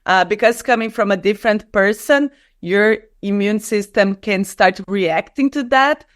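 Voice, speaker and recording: A woman's voice: mid-range voice, unhurried. Brazilian-accented woman explaining earnestly. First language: Portuguese. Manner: non-native English phrasing, earnest explaining. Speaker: guest, skin and stem cell researcher. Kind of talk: conversational interview, personal storytelling.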